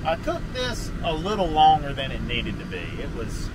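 Chatty animated trucker man vlogging. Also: on the high side, normal speed, very noisy. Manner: chatty, animated, addresses viewers as guys. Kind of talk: casual vlog talk to camera. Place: truck cab and outdoor truck yard. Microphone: handheld camera close to face.

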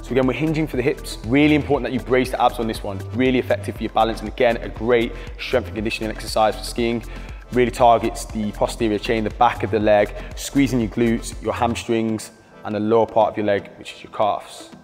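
A British man guides a workout with calm, steady coaching cues. His voice carries a long reverb from the hall, with faint music under it. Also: medium pitch, brisk. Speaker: fitness coach. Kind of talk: instructional workout voice-over.

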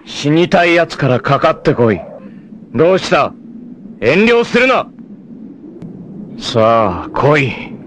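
An actor playing a proud, passionate, fiercely protective martial-arts warrior in an anime, with a dramatic, terse, masculine delivery. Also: male voice, fairly high pitch, big pitch swings, takes it slowly, pauses often, slight background noise, heavily acted. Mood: enraged, then defiant. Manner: dramatic, terse, masculine, swinging from hushed to shouting.

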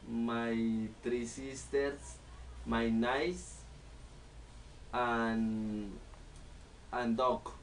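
Young man, Colombian accent, Spanish native, very slow, monotone, halting, camera mic at a distance, faint hiss, small living room. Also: medium pitch. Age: 20s. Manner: halting, word-by-word delivery with pauses. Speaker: student. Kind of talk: slow recited self-introduction for English class. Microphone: camera in front of the speaker.

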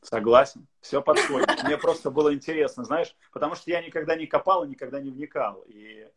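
A man in his thirties hosting a casual video call interview from a room, relaxed, with many filler pauses. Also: on the high side, breathy edge. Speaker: live-stream host. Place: indoor room.

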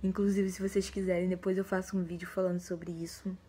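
A woman in her twenties with a Brazilian Portuguese accent, hoarse from a cold, chatting casually to the camera in a vlog and often dropping into a breathy half-whisper. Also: lowish voice. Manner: relaxed, chatty, drawn-out words. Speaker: vlogger. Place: small bedroom indoors. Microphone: phone or webcam at arm's length.

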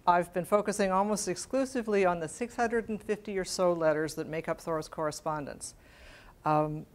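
A woman in her sixties with an American accent speaks at a medium pitch. She gives a prepared scholarly lecture from a lectern. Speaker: scholarly editor giving a lecture. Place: library event room, at a lectern. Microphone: lapel microphone.

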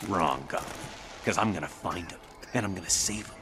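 An actor playing a grim, wisecracking vigilante superhero in a noir video game, hard-boiled and wry. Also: male voice, low voice, fairly quick, background noise, lightly acted. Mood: grim resolve. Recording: loud music behind the voice.

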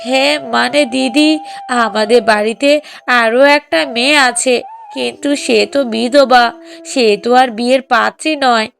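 A woman's voice: a little high, steady tone, moderate pace, gravelly, fairly bright tone, faint music behind. A woman voicing a polite, deferential middle-aged mother of a bride in a family cartoon. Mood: hesitant. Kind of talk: Bengali moral-story cartoon dubbing.

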